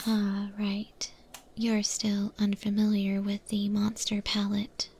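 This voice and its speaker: A woman voicing an eldritch monster cook who patiently trains a new recruit, in a breathy whispered ASMR roleplay. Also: mid-range voice, monotone, a little hiss or hum. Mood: patient, reassuring. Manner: patient, gently instructive, unhurried.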